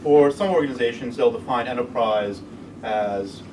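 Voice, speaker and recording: A man hosting a live evening interview, asking questions in a relaxed, conversational way. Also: mid-range voice, moderate pace. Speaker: host. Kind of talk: casual event interview. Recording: distant mic with room noise and air conditioning.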